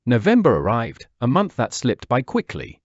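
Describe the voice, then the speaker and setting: A man's voice: medium pitch, huge pitch swings, normal speed, dry recording. A man, reading a wistful first-person memoir. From Peru. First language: Spanish. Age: 40s. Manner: wistful, reflective. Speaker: narrator. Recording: dull dry lo-fi recording.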